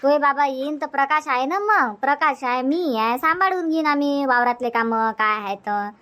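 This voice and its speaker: A woman's voice: high-pitched, moderate pace, pushed and bright. A woman playing a fussy middle-aged village mother in a comedy cartoon, chatty and insistent, repeating herself in Vidarbha Marathi accent. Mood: persuading. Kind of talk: homemade comedy cartoon dubbing.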